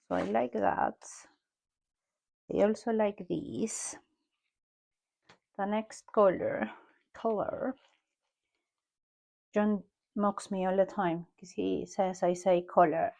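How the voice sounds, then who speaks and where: A woman from Peru with a strong Peruvian Spanish accent, talking casually through an art journal page as she paints, often pausing and correcting herself as she thinks aloud. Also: medium pitch, vocal fry, mellow. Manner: musing aloud while painting, unhurried and self-correcting. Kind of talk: casual art tutorial narration.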